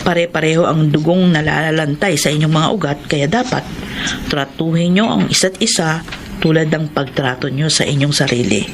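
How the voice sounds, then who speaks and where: An actress playing a caring, devout middle-aged housemaid mother with a Filipino accent, expressive and motherly in a radio drama. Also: low-pitched, normal speed, background noise. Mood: caring, earnest.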